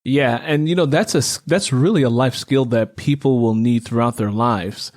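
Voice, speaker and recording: A man's voice: medium pitch, moderate pace, a little mellow. Reflective middle-aged man hosting. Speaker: interview host. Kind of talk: casual conversational interview.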